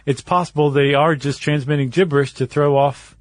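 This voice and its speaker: A man's voice: on the high side, fairly even intonation, a little fast. A man co-hosting a podcast, explaining things step by step in a casual conversational way. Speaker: podcast co-host.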